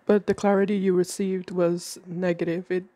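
A woman with a cold reads tarot cards at a table indoors, her tone mellow and dark. She talks calmly and measuredly, slowing down as she names each card. The sound is dry and close, with little room in it. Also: on the low side, no room sound. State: has a cold. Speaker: tarot reader. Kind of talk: tarot reading monologue.

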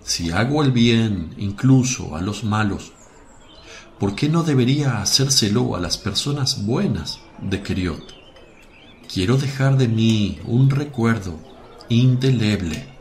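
A man playing a gentle, authoritative teacher in a radio drama, speaking in a calm, measured way. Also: fairly low pitch, slight background noise. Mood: calm, assured.

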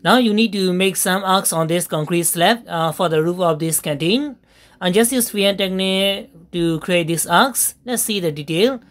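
Woman narrating a software tutorial. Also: lowish voice. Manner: step-by-step instructions with frequent fillers and pauses. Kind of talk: screen-recorded software tutorial voice-over.

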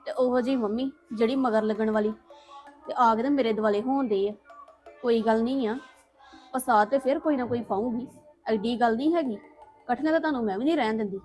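A defiant young woman, played by a woman, argues back and refuses to give in. Her voice sits high. Light music plays in the background. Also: a little fast, natural voice, low-quality recording. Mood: muttering. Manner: defiant, argumentative. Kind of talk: Punjabi family drama.

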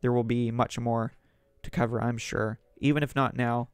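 A man with a sore, hoarse throat hosting a solo podcast, reading news articles aloud and rambling on about politics. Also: medium pitch, little pitch movement, normal speed, dry recording, faint music behind. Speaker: podcast host.

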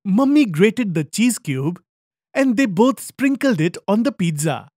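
A woman's voice: mid-range voice, very animated, a little slow, a little mellow, dry recording, clean studio sound. A woman narrating a scripted children's story.

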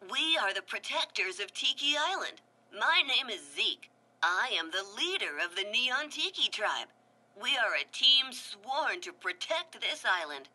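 A brave male tiki leader gives steady, reassuring orders to his tribe, voiced by a woman. The voice sits high and sounds very bright, in the manner of a storybook. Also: a little slow, exaggerated voice, poor audio. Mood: commanding.

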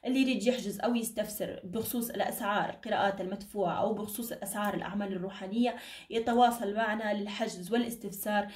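A woman speaks at a brisk clip in an echoey room, a little away from the microphone. She reads cards informally and reassuringly, with endearments and blessings, her voice somewhat tired. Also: mid-range voice, steady tone, darkish tone, far from the mic. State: tired, strained voice. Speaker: card reader, channel host. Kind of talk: informal fortune-telling reading to camera. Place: indoor room at a table.

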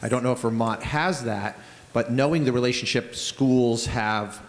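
A middle-aged man in the audience asking a question into a handheld mic, with a confident, loud speaking voice. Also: mid-range voice, moderate pace. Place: large conference ballroom. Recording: long hall reverb.

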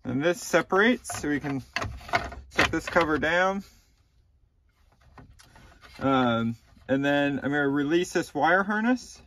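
A man in his thirties calmly walking through a car repair step by step, with a few ums, recorded inside a car. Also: on the high side, slow, long pauses, background noise. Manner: plain, methodical instructions with frequent ums. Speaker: DIY repair channel host. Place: inside a car, small enclosed cabin.

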